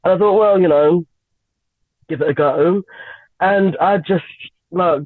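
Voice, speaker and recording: A young woman from Lagos and London, a singer, chatting as an interview guest with frequent giggles and lots of halting fillers. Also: lowish voice, no echo. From Nigeria and England. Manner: giggly, hesitant with many fillers. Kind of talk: radio interview, casual chat. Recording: tinny phone-line sound, dry.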